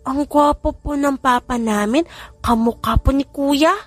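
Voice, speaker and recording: A high, sweet little granddaughter, as a female narrator puts her on, full of eagerness in an audiobook tale. Music plays behind her. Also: a little slow, no echo. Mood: eager. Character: sweet, excited five-year-old granddaughter.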